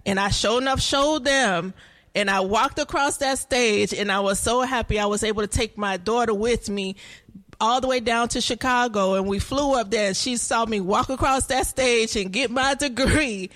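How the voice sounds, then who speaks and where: A woman's voice: fairly high pitch, moderate pace, a bit bright. A woman in her forties, a nursing instructor, co-hosting a casual chat, speaking earnestly and laughing often. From United States.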